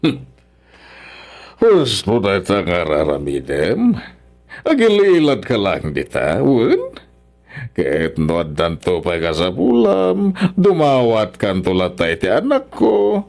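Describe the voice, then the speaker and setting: A man's voice: lowish voice, wildly swooping pitch, slow pace, gravelly, darkish tone, soft background music, full character voice. A man playing a stern, scornful, wealthy older father-in-law in an Ilocano radio drama, scolding haughtily with an Ilocano accent.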